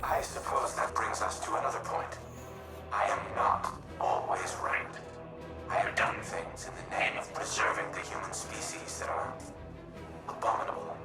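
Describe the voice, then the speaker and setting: An actor playing a grave, ancient military AI in a video game, speaking in a measured, formal and solemn way. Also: male voice, on the low side, wildly swooping pitch, gravelly, heavily acted. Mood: grave, remorseful. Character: grave, remorseful ancient male war AI in a machine body. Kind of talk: scripted video game dialogue. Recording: game music behind, roomy echo.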